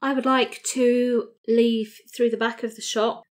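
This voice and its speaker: A woman's voice: a little high, little pitch movement, measured pace. A woman, chatting casually as a player in a tabletop role-playing game. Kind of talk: casual chat.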